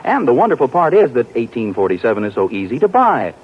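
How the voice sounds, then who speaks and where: A man announcing on a 1940s radio show and reading its commercial in a smooth, salesy, enthusiastic way. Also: mid-range voice, huge pitch swings, quick pace. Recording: dull lo-fi 1940s radio broadcast.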